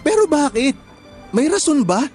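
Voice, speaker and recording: A man's voice: very high voice, big pitch swings, normal speed, a little mellow, soft background music. A man acting a desperate jilted boyfriend in a radio drama, pleading and insistent. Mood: hurt, insistent. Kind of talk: emotional radio drama dialogue.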